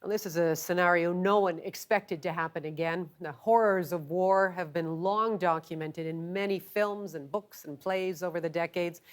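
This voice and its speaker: A woman, speaking as a television host conducting an interview. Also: fairly low pitch. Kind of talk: broadcast interview.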